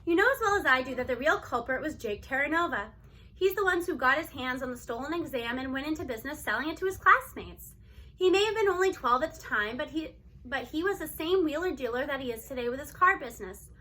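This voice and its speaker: A sympathetic woman principal from a children's novel, read by a woman narrator. She reasons earnestly and persuasively, quick to defend. Her voice is high with a bright edge. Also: animated. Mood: earnest defense.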